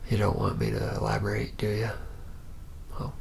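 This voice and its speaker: A man playing a reluctant, nervous young vampire in a hushed ASMR roleplay, telling his story haltingly in a breathy whisper and low murmur. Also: monotone, slight background noise. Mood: rueful, reluctant. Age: young adult. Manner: halting, hesitant, trailing off.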